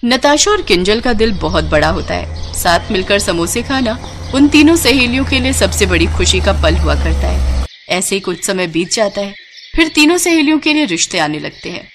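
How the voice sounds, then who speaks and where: A woman's voice: medium pitch, big pitch swings, moderate pace, a bit bright, slight background noise. A woman narrating a scripted moral bedtime story as storyteller.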